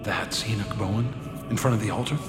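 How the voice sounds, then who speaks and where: Young newspaperman for radio drama, male actor: skeptical, wry, lowish voice, echoing hall. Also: a little fast, lightly acted. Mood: uneasy, polite. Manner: wry, politely probing. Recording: echoing hall with long reverb, music behind.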